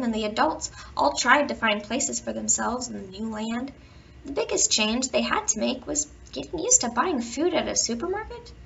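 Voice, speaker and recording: A woman with a fairly high voice and noticeable creak reads a children's story aloud. She stresses and stretches words for young listeners. The recording is dull and sounds a little far from the mic. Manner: storytelling to children, expressive emphasis and drawn-out words. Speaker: children's bedtime story reader. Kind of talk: reading a picture book aloud with playful asides. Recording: dull, distant home recording with background noise.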